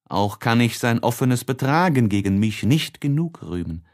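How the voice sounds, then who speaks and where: A man reading literary prose as an audiobook narrator, expressively and with deliberate, measured phrasing. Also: mid-range voice, sing-song.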